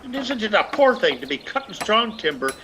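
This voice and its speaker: A man's voice: high voice, sing-song, fairly quick, noisy background. An actor playing a ragged, lazy older peasant man who grumbles and needles in a lilting, theatrical radio play style. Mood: whining protest.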